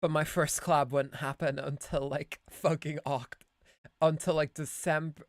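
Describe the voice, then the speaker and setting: A woman's voice: deep voice, level tone, normal speed, dry recording. A woman from Ireland with a light Irish accent blended with American, chatting casually on a live stream, giggling, rambling, swearing and correcting herself. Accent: light Irish, mixed with American.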